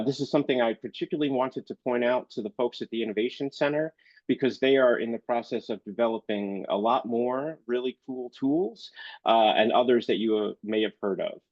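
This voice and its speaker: A man's voice: medium pitch, a little mellow, dry recording. A man giving a library presentation while congested from illness, hesitant with many fillers. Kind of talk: online presentation. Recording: dull, dry video-call audio.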